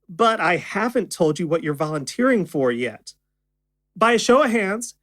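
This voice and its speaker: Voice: mid-range voice, a bit bright. An actor playing a friendly stage magician with an easy showman's patter in an audio drama. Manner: showman's patter addressed to an audience.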